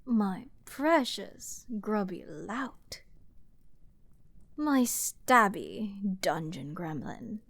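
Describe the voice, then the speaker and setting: A woman playing an arrogant, flirty and menacing princess in a dramatic fantasy ASMR roleplay, teasing and theatrical, with drawn-out words and dips toward a whisper. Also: mid-range voice, dry recording, full character voice. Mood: mocking, flirty. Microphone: close studio mic, dry.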